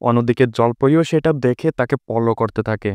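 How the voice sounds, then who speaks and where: A man's voice: medium pitch, a little fast. A man giving a scripted storyteller's narration for a fairy-tale cartoon. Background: prominent music.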